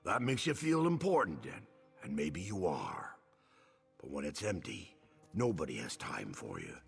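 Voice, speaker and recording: A man's voice: very deep voice, huge pitch swings, a little slow, gravelly, breathy edge, big-room sound, soft background music, full character voice. A man voicing a wry, musing thieves guild member in a video game, with a theatrical delivery.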